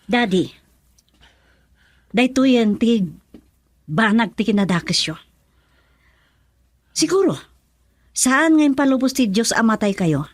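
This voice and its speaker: A woman's voice: fairly high pitch, lively intonation, slow pace, pauses often, no room sound. A woman playing a hurt, reproachful adult daughter in a radio drama, speaking with emphatic feeling. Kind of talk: Ilocano radio drama acting.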